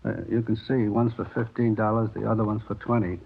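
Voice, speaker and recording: Anxious, deferential American deli owner, husky and creaky, tinny vintage radio drama sound. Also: male voice, fairly low pitch, little pitch movement, brisk. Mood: polite, worried. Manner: hesitant, deferential. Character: polite, anxious small delicatessen owner, male. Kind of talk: 1950s radio drama acting. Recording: thin, phone-like vintage radio recording.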